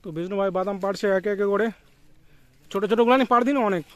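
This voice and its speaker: High-voiced man vlogging outdoors. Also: rapid-fire. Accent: West Bengal, Midnapore. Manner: chatty, addresses viewers as guys, gets excited. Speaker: vlogger filming his group. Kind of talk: casual vlog commentary to camera. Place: outdoors in a cashew grove.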